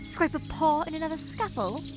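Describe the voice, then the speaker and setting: High voice, fussy rabbit healer, prim, chatty, condescending, fantasy game, music behind. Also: female voice, expressive, moderate pace. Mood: prim, lecturing. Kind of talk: visual novel voice acting.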